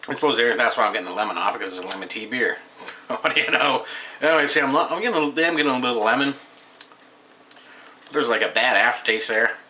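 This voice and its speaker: Thirties guy, casual home reviewer, bright-toned, loose and rambling delivery. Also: mid-range voice, lively intonation, fast, pauses often, a little hiss or hum. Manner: rambling, offhand, reads label aloud. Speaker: beer reviewer. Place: small room at home, indoors.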